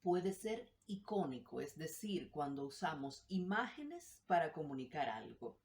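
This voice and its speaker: Low-voiced woman teaching a lesson. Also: sing-song, a little slow, a little mellow. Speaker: language teacher. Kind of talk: explanatory lesson.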